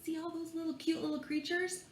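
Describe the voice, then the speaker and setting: A woman reading a picture book to young children in an expressive, playful and theatrical way, drawing out words and adding hushed asides. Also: very high voice, brisk. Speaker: librarian reading at children's storytime. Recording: echoey room with faint background noise.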